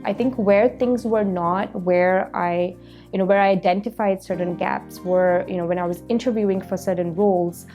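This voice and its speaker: A woman's voice: mid-range voice, steady tone, moderate pace, dark tone, faint music behind. A woman in her thirties from Malaysia, a company founder speaking reflectively in a documentary interview, with frequent "you know" fillers.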